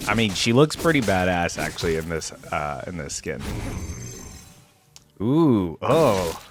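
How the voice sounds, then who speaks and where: A man's voice: sits low, sing-song, measured pace, noisy background. A man hosting a casual reaction commentary, speaking informally and musing aloud. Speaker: reaction video host.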